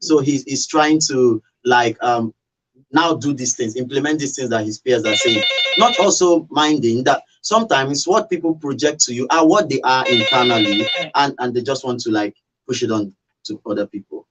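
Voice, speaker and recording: A man's voice: mid-range voice, normal speed, background noise. A Nigerian man talking as a radio show guest, explaining his view conversationally and checking with tag questions.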